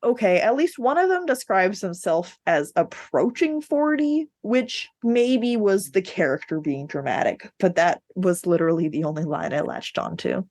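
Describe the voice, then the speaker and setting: A woman's voice: mid-range voice, very animated, moderate pace, hardly any pauses, a little mellow, dry recording. A woman podcast host in a casual book discussion, speaking in a blunt, informal, sweary way.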